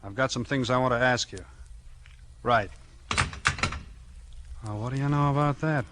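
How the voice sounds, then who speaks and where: An actor playing a blunt small-town American police chief in a 1940s radio mystery, with clipped, slangy, questioning talk. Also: male voice, mid-range voice, normal speed, a bit bright, no room sound, natural voice. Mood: brisk. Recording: vintage 1940s radio recording.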